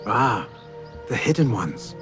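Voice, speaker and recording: An actor playing an earnest, kind and modest young assassin in a video game cutscene. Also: male voice, on the low side, big pitch swings, slow pace, over music. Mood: gentle.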